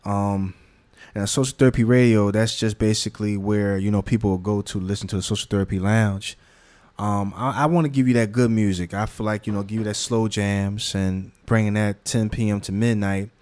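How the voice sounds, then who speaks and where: Low-voiced man with a laid-back slangy drawl. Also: a little fast. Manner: relaxed slangy talk with many restarts and fillers. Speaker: magazine founder. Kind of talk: casual interview conversation.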